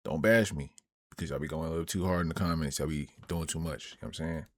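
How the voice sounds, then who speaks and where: A man's voice: a bass voice, quick pace. A man streaming a casual reaction to a video, talking to his viewers in a slangy way.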